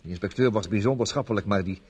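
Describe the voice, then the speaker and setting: An actor playing a nervous, evasive man who speaks haltingly in a radio play. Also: lowish voice, a little mellow, breathy edge. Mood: uneasy, conspiratorial. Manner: halting, hesitant. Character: nervous, evasive male witness hiding something.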